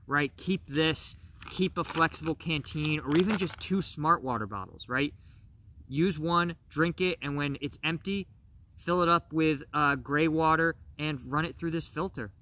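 Host, man in his 40s, a bit high and bright, chatty outdoor gear review, forest setting. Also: a little slow. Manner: conversational, frequent "right?" and fillers. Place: outdoors in a bare winter forest.

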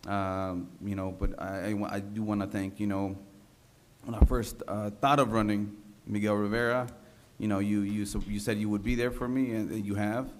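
A man in his thirties speaks in a lowish, very even voice. He is a council member giving informal thanks, halting and repeating himself in an unpolished way. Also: slight background noise. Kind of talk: informal acceptance speech at a public meeting. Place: large council chamber, indoors. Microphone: gooseneck desk microphone.

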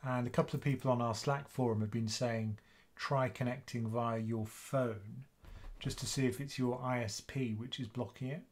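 A man in his fifties with a British accent, hosting a live investing Q&A stream from a small home room, chatting casually in a quiet voice. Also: medium pitch, steady tone, normal speed, hardly any pauses. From United Kingdom. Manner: casual, chatty, soft-spoken. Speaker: investing channel host.

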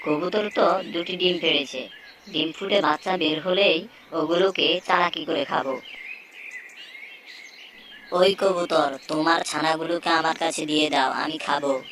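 Sly male cartoon fox, played by a woman: low voice, greedy and wheedling, light birdsong and noise behind. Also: fairly even intonation, a little slow, pauses often, fairly bright tone. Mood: greedy menace. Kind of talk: children's animated folk tale dialogue.

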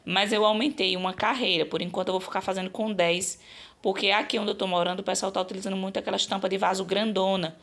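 A slightly hoarse woman with a Brazilian accent, explaining her craft work in a chatty, casual vlog style. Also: medium pitch, steady tone, quick pace, fairly bright tone. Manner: chatty, explanatory, frequent check-in tags. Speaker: crochet channel host.

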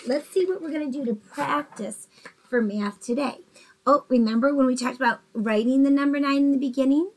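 A woman's voice: on the high side, low-quality recording. Encouraging woman teaching young children. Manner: gentle, encouraging, asks children questions. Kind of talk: early-grades math lesson to children. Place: bedroom at home, indoors.

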